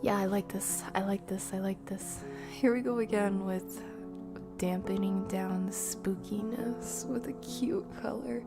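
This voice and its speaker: A woman streaming a game, chatting playfully with viewers and often dropping into hushed whispered asides. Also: mid-range voice, fairly even intonation, unhurried, music in the background. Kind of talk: casual live-stream chat while playing.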